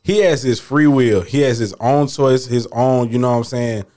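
A man's voice: medium pitch, normal speed, warm tone. A man on a podcast, telling a story in easy slang and full of "you know what I'm saying." He gets emphatic when he pushes back, repeating words for force. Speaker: podcast guest. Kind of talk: casual podcast debate.

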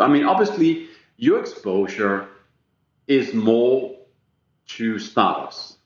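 A man's voice: mid-range voice, echoey room, highs cut off. A man whose first language is Danish, a guest executive talking earnestly and emphatically about enterprise sales in a business interview.

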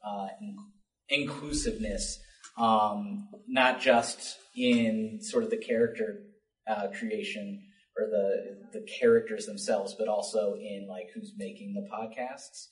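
A man speaking as a panelist in an unscripted discussion, halting often as he searches for words. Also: lowish voice, steady tone. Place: panel at a public library, indoors. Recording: roomy, distant library event recording.

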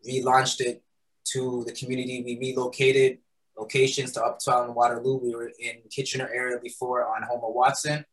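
A man's voice: medium pitch, little pitch movement, moderate pace, fairly bright tone, rough recording. A man answering interview questions in a loose, conversational way, filling his answers with "um" and "right?". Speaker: guest, patty shop founder. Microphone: recording studio mic.

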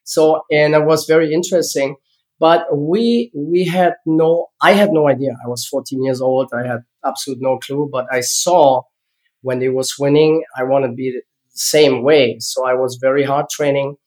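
A man in his fifties from East Germany with a German accent, speaking English as a second language and telling his life story as a guest in an interview, halting and searching for words. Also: medium pitch, darkish tone, poor audio. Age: 50s. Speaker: guest, former gymnast.

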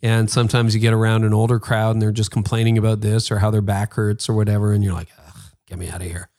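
A man's voice: lowish voice, quick pace. Emphatic Canadian man in his fifties. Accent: Toronto Canadian. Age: 50s. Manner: emphatic, animated, mock-quoting excuses with sarcasm. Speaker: podcast host being interviewed. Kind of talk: casual interview chat.

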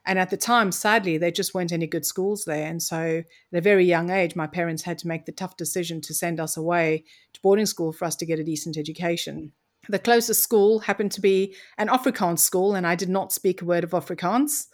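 A woman's voice: fairly low pitch, a little fast. A woman from Mozambique, telling her story as an interview guest in an articulate, reflective way. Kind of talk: conversational interview.

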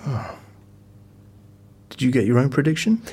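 An actor playing an attentive, uneasy listener in an audio drama, speaking in short reactions and questions with a husky voice. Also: male voice, medium pitch, very animated, measured pace, warm tone, full character voice. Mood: curious.

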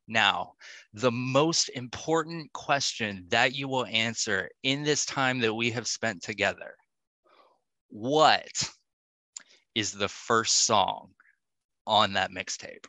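A man hosting a podcast interview casually, setting up his questions in a deliberate way. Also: lowish voice, bright, forceful tone, no echo, low-quality recording. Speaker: podcast host.

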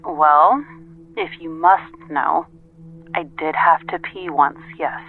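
A woman's voice: low-pitched, fairly even intonation, slow pace, soft background music. Tinny phone voice, sardonic woman. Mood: guarded. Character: sardonic, efficient female assistant to a detective. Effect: telephone filter. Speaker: actor in an audio drama. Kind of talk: naturalistic audio drama dialogue. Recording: tinny, narrow phone line.

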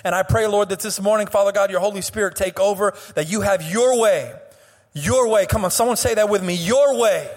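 A slightly hoarse young man preaching a sermon as pastor. He speaks with passion, stretches and half-sings phrases, and often restarts his words. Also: high-pitched, big-room sound. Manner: impassioned preaching with sung, drawn-out phrases and frequent restarts. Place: church sanctuary.

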